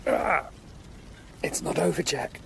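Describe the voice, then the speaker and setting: An actor playing an anxious, sharp-minded Tudor lawyer investigating crimes in a period radio drama. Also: male voice, on the high side, slow, gravelly, background noise, full character voice. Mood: urgent, deducing.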